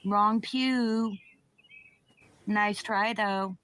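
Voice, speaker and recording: A woman's voice: medium pitch, fairly even intonation, extremely slow, a bit bright, slight background noise. A woman delivering a taunting, foul-mouthed monologue.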